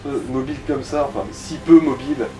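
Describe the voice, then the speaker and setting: A man's voice: medium pitch, fairly quick, warm tone. A man making offhand, slangy remarks as a co-commentator on a video game. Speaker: co-commentator advising the player. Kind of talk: casual chat over gameplay. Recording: distant mic, music behind.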